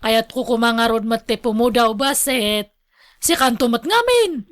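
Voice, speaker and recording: Radio drama casting: nagging village wife and mother played by a woman, complaining, high voice, dry close sound. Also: animated, normal speed, no room sound, lightly acted. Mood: grumbling. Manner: complaining, sharp-tongued.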